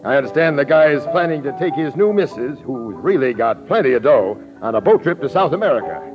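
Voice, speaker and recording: An actor playing a brisk, slangy police detective captain in a 1940s radio drama, on a muffled old recording. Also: male voice, medium pitch, expressive, music in the background, close to an everyday voice. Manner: brisk, slangy tough-guy talk.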